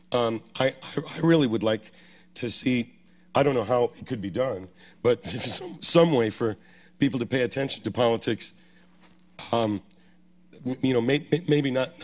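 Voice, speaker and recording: Hoarse man with halting speech. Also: medium pitch. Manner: halting, many restarts. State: losing his voice. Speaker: panelist. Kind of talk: panel discussion on stage. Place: festival stage.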